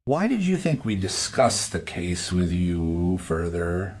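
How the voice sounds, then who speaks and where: A man's voice: sits low, sing-song, slow pace, darkish tone, exaggerated voice. An actor playing a courteous, restrained male police investigator in an audio crime drama. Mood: measured.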